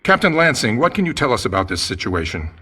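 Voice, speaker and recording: An actor playing a grave, urgent radio news reporter giving a formal eyewitness report in a radio drama. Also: male voice, medium pitch, big pitch swings, pushed and bright, a little hiss or hum. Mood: grave, alert. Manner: formal, measured on-the-scene reporting.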